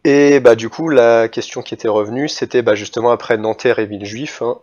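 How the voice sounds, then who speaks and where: A man's voice: mid-range voice, fairly quick, a little mellow. A man hosting an interview, chatting casually and informally with lots of loose filler words. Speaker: host. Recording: dull lo-fi sound.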